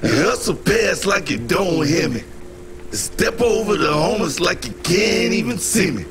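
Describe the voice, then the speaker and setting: A man's voice: high voice, sing-song, measured pace, vocal fry, over music, exaggerated voice. A man playing a defiant anti-establishment street singer in a game, delivering rhymed protest in a sing-song, declamatory way. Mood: accusing, defiant. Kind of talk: sung, rhyming protest speech in a game.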